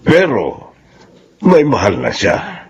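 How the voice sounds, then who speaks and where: A generous older father who runs the company, played by a man, talks slowly and warmly to his employee. His voice rises and falls widely, broken by soft chuckles. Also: mid-range voice, wildly swooping pitch, slightly rough, exaggerated voice. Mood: fatherly. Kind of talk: radio drama acting.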